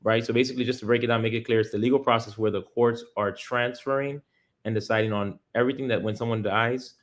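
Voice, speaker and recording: A man's voice: medium pitch, fairly even intonation, fast talker. A man in his thirties from Miami with an American accent, talking as an interview guest and explaining things earnestly, step by step, in casual language. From Miami, United States. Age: 30s.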